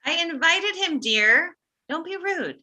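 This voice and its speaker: Woman playing scolding mom, piercingly bright voice. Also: very high voice, expressive, takes it slowly, heavily acted. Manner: chiding, emphatic. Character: scolding, oblivious mother of a grown son. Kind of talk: comedy script table read.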